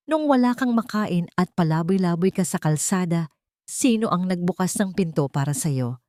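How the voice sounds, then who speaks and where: A woman's voice: lowish voice, a little mellow, no room sound, clean studio sound. A woman voicing a cruel, contemptuous elderly mother-in-law who scolds and belittles in a Tagalog audio drama.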